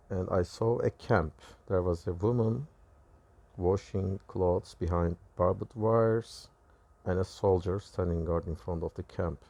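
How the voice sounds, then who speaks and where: A man with a Turkish accent and Turkish as his first language gives a personal testimony, recounting visions in halting, self-correcting phrases. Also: low voice, dark tone, no echo. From Turkey. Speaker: religious video creator.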